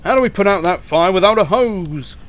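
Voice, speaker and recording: Casting: man playing quick-tempered fire engine, children's tale, high-pitched screechy scold. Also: lively intonation, a little hiss or hum. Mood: furious. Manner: screeching, demanding.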